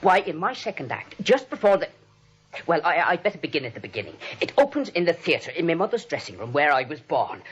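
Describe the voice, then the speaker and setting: Bright, eager, chatty cop. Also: male voice, on the high side, fairly quick. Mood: eager storytelling. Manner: chatty, excitable, ungrammatical storytelling. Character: eager, oblivious Brooklyn policeman and would-be playwright. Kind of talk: 1940s radio comedy acting. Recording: muffled old 1940s radio recording.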